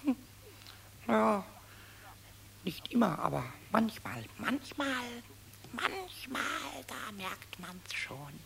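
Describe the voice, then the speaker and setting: An actor voicing a small cheeky, soft-hearted kobold who mutters to himself in a creaky high voice, in a children's radio play. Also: male voice, very animated, slow pace, long pauses, full character voice. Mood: musing, sly. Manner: muttering to himself in repeated phrases. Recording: noisy old radio play recording.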